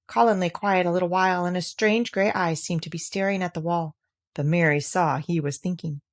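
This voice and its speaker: A woman's voice: fairly low pitch, steady tone, a little fast. A woman reading a classic children's novel aloud as scripted storybook narration. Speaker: audiobook reader.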